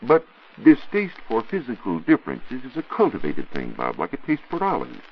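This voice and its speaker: A man's voice: mid-range voice, wildly swooping pitch, normal speed, a little hiss or hum. An American man working as a science reporter, explaining in a formal, didactic way in a scripted 1940s educational radio talk. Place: radio studio. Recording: muffled 1940s radio recording.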